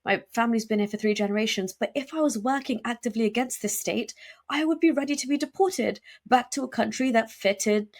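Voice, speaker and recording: A woman speaking as a guest in an interview, talking emphatically and quickly with many fillers and restarts. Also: mid-range voice. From United Kingdom. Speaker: podcast guest. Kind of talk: conversational interview.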